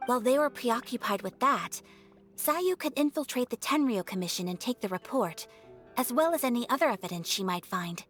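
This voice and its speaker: An actress playing a calm, thoughtful young noblewoman who speaks in a measured, formal way. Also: on the high side, soft background music. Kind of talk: game cutscene dialogue.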